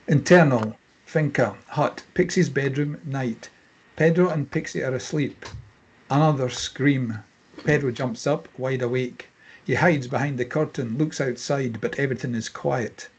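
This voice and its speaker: A man's voice: mid-range voice, breathy edge. A man reading screenplay stage directions as scripted narration, in clipped phrases.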